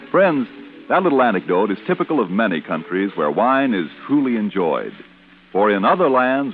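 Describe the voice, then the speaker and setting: A man reading a scripted 1940s radio commercial as announcer, with polished, grandiloquent salesmanship. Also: on the low side. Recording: tinny old radio broadcast, music.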